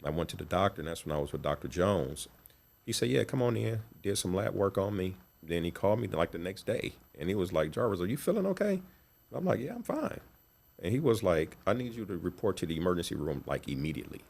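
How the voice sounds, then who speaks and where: A man, a coach and guest, telling his story quickly and acting out the dialogue in a studio interview. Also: low-pitched, big pitch swings, gravelly, a little mellow. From Cleveland, Ohio.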